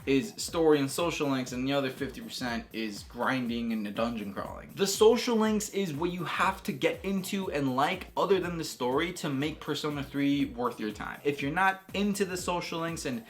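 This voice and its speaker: A man's voice: fairly high pitch, expressive, normal speed, hardly any pauses. A man giving casual, enthusiastic video game commentary as a reviewer, emphatic and repeating phrases for stress. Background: background music.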